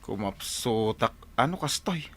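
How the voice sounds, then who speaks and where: A man's voice: mid-range voice, lively intonation, a little slow, very noisy. A man playing a grumbling, henpecked working husband in an Ilocano radio drama, whining and exclaiming with a northern Philippine accent. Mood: exasperated. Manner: whining, exclamatory, comic complaining. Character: Pedro, grumbling, henpecked construction-worker husband and father.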